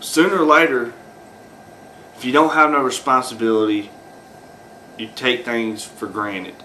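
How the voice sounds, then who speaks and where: A man's voice: mid-range voice, fairly even intonation, unhurried, pushed and bright, a little hiss or hum, rough recording. A man talking off the cuff as host of his own video, rambling with many fillers and restarts. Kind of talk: unscripted solo commentary.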